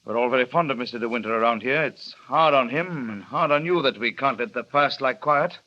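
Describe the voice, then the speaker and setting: An actor playing a dutiful middle-aged harbour master in an old radio drama, tactful and hesitant. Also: male voice, mid-range voice, a little fast, lo-fi sound, lightly acted. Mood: grave.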